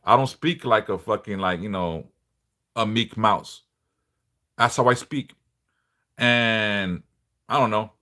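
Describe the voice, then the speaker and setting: A middle-aged man a big bass voice, chatting bluntly and passionately on a livestream, swearing freely. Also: slow pace, long pauses, sharp tone. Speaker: livestream host, devotional teacher. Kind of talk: casual livestream chat.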